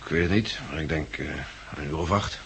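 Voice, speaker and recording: A man's voice: rumbling low, fairly quick, fairly bright tone. An actor playing a stoic, loyal, down-to-earth male survivor in a 1960s Dutch radio drama. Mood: sober, matter-of-fact. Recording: noisy 1969 radio drama recording.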